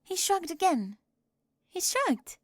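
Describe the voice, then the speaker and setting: A woman playing a bossy, high-strung, organised teenage girl in an ASMR roleplay, fussy and emphatic, often dropping into breathy whispers. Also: sing-song, slow pace, no room sound, clean studio sound, full character voice. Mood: indignant. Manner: fussy, emphatic, flustered, clipped.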